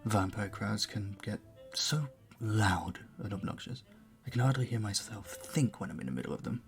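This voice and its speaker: A man's voice: on the low side, normal speed, gravelly, faint music behind. An actor playing a charming, flirtatious vampire bartender in an intimate audio roleplay, suave and teasing as he speaks to the listener. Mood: friendly, curious.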